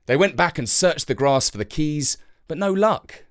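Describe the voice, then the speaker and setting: A narrator reading a scripted children's story with expressive, deliberate phrasing. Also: mid-range voice.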